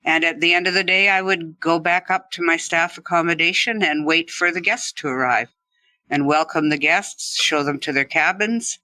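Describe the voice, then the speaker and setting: A woman's voice: fairly low pitch, moderate pace, pushed and bright, no room sound. A middle-aged woman, telling her own story conversationally as an interview guest. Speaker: podcast guest, former ski instructor.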